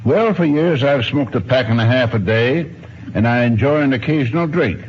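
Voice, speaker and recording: A man's voice: medium pitch, animated, normal speed. An American man in his nineties from Washington, D.C., answering as a radio interview guest in a courteous, dryly humorous way. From Washington, D.C., United States. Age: 90s. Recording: muffled 1950s radio broadcast, faint noise.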